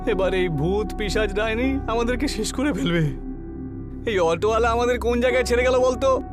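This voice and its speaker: Man acting high-voiced, anxious young man. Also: normal speed. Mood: panicked. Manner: urgent, anxious, colloquial. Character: frightened young men, friends fleeing haunted cinema. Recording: background music under the voice.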